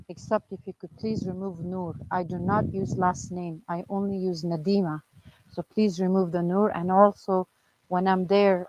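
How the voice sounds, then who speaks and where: A woman from Afghanistan giving an earnest, firm personal message, explaining her reasons deliberately. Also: on the low side, darkish tone, dry recording. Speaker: charity director, activist. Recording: muffled lo-fi voice clip.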